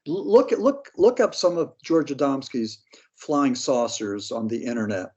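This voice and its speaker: A man's voice: mid-range voice, lively intonation, moderate pace, darkish tone, slightly rough, dull audio. An American man talking casually as an interview guest, blunt, skeptical and slangy in his opinions. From Pennsylvania, United States. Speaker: interview guest, paranormal author.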